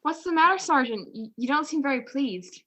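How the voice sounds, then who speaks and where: A woman's voice: high-pitched, expressive, a little fast, fairly bright tone. A woman playing a poised, teasing, affectionate detective's wife in a radio play. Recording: muffled video-call recording.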